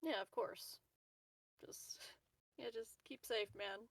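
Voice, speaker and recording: A woman's voice: on the high side, takes it slowly, fairly bright tone, no echo. A woman chatting informally and playfully as a player in a tabletop roleplaying game. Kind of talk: casual chat.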